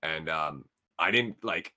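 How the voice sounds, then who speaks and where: Slangy young man with a bright voice. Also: very deep voice, takes it slowly. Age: 20s. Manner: laid-back slangy storyteller, laughs often. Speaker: podcast co-host. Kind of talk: casual gaming podcast banter.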